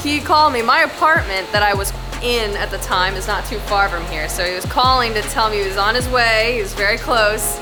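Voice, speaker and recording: Playful woman with a low, sultry voice. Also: brisk, hardly any pauses. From Bloomington, Indiana. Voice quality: deep voice for a woman, by her own account. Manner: playful, laughs often. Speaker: vlogger. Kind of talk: casual vlog narration. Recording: music playing underneath.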